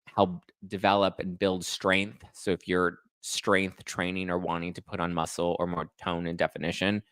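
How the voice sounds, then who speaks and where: A man's voice: deep voice, fairly bright tone, clear, resonant tone, no room sound. A man in his thirties hosting a casual gossip livestream, chatting to viewers in a sassy, playful, slangy way. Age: 30s. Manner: sassy, slangy, playful, addressing listeners as honey and boo. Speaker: host of a pop-culture livestream show.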